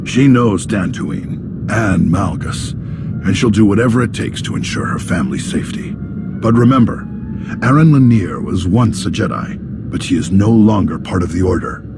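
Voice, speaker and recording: Game mentor, senior Jedi master, composed, courteous, formal, lowish voice, loud score underneath. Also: male voice, animated, over loud music. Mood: cautioning. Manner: measured, formal. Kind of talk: scripted video game voice acting.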